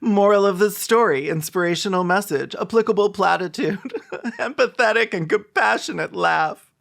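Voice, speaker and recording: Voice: mid-range voice, lively intonation, normal speed, gravelly, a bit bright. A sarcastic reaction commentator with a snarky, mocking and exaggerated way of talking. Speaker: video essayist commentator.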